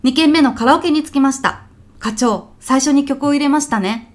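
Actress as polite female office junior, high voice, strict and matter-of-fact, comic audio drama. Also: normal speed, close to an everyday voice. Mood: stern, matter-of-fact. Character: strict female junior office organizer, coolly tallying fines. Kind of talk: comic radio drama acting.

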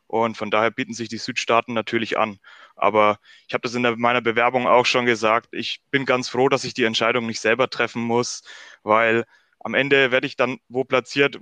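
A man's voice: mid-range voice, pushed and bright, no room sound. A man in his early twenties from Bavaria, answering conversationally as a podcast guest. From northern Bavaria, Germany.

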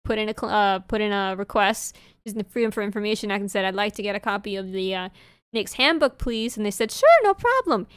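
A woman co-hosts a casual podcast chat, speaking English as a second language. Her voice is smooth and clear, recorded close and dry. She talks in an animated, rambling way, full of fillers. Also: mid-range voice, quick pace, no room sound. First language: not English. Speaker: podcast co-host.

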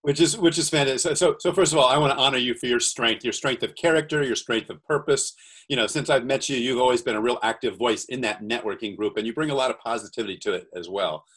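A man from the USA, a guest entrepreneur, chatting earnestly on a video call and reading aloud slowly. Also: mid-range voice, a bit bright, slightly rough. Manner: earnest, reads cards slowly and deliberately. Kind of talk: casual video-call chat.

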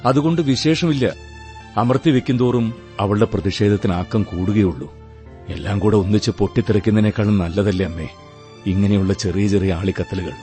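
An actor in a Malayalam radio drama playing a resigned, bitter husband and son with a measured, melancholy delivery. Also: male voice, on the low side, mellow, faint music behind, close to an everyday voice. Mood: resigned, brooding. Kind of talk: Malayalam radio play acting.

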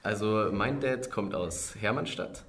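A young man in his twenties with a fairly low voice leads the conversation. He talks in a friendly, steady presenter's way, casual and unhurried. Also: gravelly. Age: 20s. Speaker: podcast host. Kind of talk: casual podcast chat.

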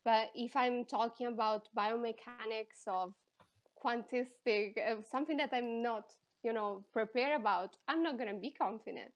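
Italian lady coach on a podcast, kinda high voice, talks fast in bursts, very expressive. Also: lo-fi sound. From Italy. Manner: lively and expressive, quick bursts and restarts. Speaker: counselor and life coach. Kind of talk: casual interview.

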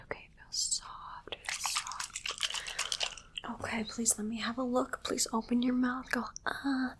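A woman whispering an ASMR roleplay as a calm, reassuring alien medical examiner, repeating short reassurances in a hushed, soothing way. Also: on the high side, noisy background.

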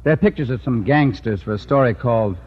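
An actor playing a blunt, self-assured magazine photographer in an old radio comedy. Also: male voice, mid-range voice, fairly quick, natural voice. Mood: businesslike. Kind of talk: 1940s-50s radio comedy acting. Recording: muffled, noisy old radio recording.

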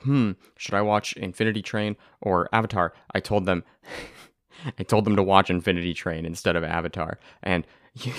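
A young man hosting a casual podcast, talking excitedly and rambling, with a tired voice. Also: on the low side, dry recording, studio quality. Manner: excitable, rambling, swears, laughs often. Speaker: podcast host. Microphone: close to the mic.

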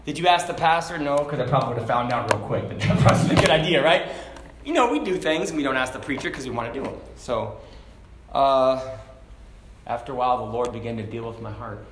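A man preaching a sermon to a congregation, talking casually and then building to loud, fervent shouts. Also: medium pitch, lively intonation, fairly quick, background noise. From Hong Kong. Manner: conversational preaching, rising to shouts. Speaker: pastor. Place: church sanctuary. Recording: echoey church room.

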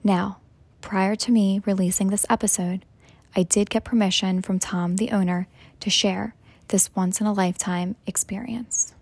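Female meditation guide: hushed and breathy, unhurried phrases with long pauses, level delivery. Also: medium pitch, dry recording. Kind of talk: slow guided meditation narration.

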